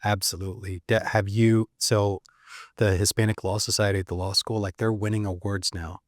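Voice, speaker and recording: Man, podcast host, lowish voice, casual questions, filler-heavy, dry close mic. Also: level tone, normal speed, no echo. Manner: warm-up questions, frequent filler "uh". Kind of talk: casual interview conversation.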